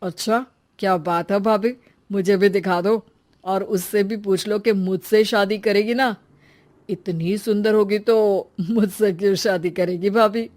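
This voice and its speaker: A woman's voice: mid-range voice, steady tone, measured pace, a little mellow, close to an everyday voice. A woman voicing an earnest, caring college-age young man, protective and pleading, in a story. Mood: playful.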